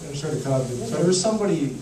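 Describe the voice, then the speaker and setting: A man's voice: fairly high pitch, animated, racing, warm tone. Man asking casually from across an echoey room. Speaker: panel questioner. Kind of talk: casual spoken question at a panel. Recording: distant, echoey room with faint noise.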